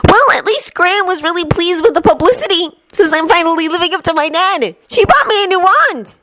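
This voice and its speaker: A woman doing a high, earnest teenage wizard boy. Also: lively intonation, brisk, bright, forceful tone, exaggerated voice.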